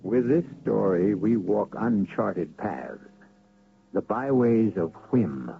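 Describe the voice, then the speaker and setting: A man hosting an old radio mystery show, narrating in a measured, dramatic manner. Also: lowish voice, animated, vocal fry. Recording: lo-fi phone-band old radio recording.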